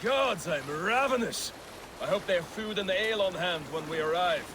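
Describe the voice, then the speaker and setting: A man's voice: high voice, expressive, normal speed. An actor playing a brash, boastful Viking raider in a video game, blustering as he talks, over water noise. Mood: grumbling, eager. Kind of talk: cinematic video game acting. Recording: loud water and boat ambience.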